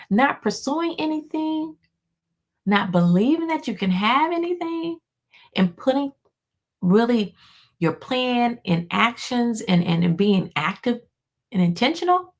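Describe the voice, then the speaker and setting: A hoarse woman, a life coach, giving an encouraging motivational talk to her viewers, repeating key lines for emphasis and calling them y'all. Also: on the high side. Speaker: life coach hosting a weekly motivational show. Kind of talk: motivational pep talk to camera.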